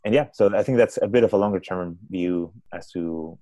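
A man's voice: sits low, fairly quick, mellow, no room sound. A man with a Spanish accent, a startup founder answering podcast questions thoughtfully and often restarting his words. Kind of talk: conversational interview answers.

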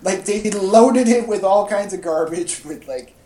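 A man co-hosting a casual podcast chat, speaking in a halting, informal fan style. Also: high-pitched, noisy background. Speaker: podcast co-host. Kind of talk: casual chat about comics and movies. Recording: echoey, roomy podcast audio.

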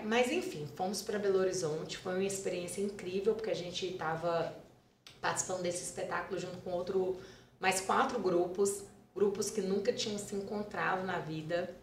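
A woman's voice: fairly low pitch, roomy sound, a little hiss or hum. A woman with a Brazilian Portuguese accent, a dance school founder and teacher, telling stories in a casual podcast interview and repeating words for emphasis.

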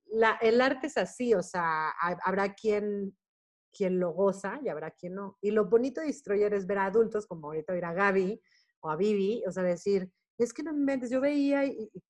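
A Mexican woman in her forties speaking casually as an interview guest, telling stories in an animated way and acting out bits of dialogue. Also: mid-range voice, normal speed. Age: 40s. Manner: animated storytelling, often acting out quoted dialogue. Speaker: guest performer, singer and actress.

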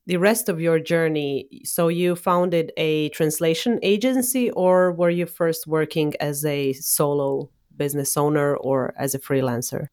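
Woman podcast host, lowish voice, encouraging, asks stuff and cheers the guest on. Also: steady tone, measured pace, few pauses. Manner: encouraging, asks questions warmly. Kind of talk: casual interview.

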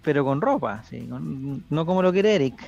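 Chilean man, host of a casual football chat, slangy and joking, gravelly and slightly high, dull lo-fi sound with faint music behind. Casting note. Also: lively intonation, normal speed, darkish tone. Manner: joking, slangy, leads the questions.

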